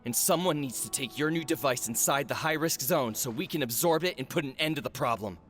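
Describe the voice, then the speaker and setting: An actor playing an earnest, grave forge overseer in a video game, solemn, accusing and deliberate as he confronts a traitor. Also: mid-range voice, fairly bright tone, lightly acted. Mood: stern, urgent. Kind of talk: dramatic video game voice acting. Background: background music.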